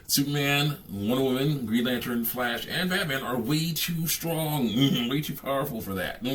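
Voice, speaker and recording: A man giving casual, off-the-cuff reaction commentary on a game, talking in a halting, rambling way with frequent hums and chuckles. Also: mid-range voice, a bit bright, poor audio.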